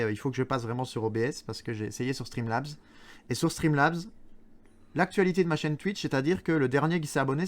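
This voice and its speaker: Fast joking Belgian man streaming. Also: mid-range voice. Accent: Belgian French, Charleroi. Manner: joking, rambling, self-mocking. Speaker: streamer host. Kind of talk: casual gaming stream chat. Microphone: good streaming mic.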